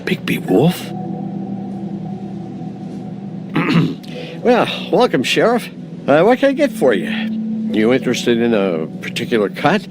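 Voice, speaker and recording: A man's voice: mid-range voice, big pitch swings, moderate pace, natural voice. An actor playing a nervous, evasive butcher in a video game, a man who stammers and hesitates apologetically. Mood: nervous, obliging. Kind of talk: cinematic video game acting. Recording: game audio with clear background noise.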